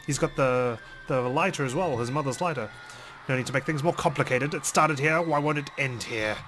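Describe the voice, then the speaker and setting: A man's voice: medium pitch, fairly quick, a bit bright, soft background music. A man reading visual novel text aloud as dramatic first-person narration. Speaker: let's play host reading game text aloud.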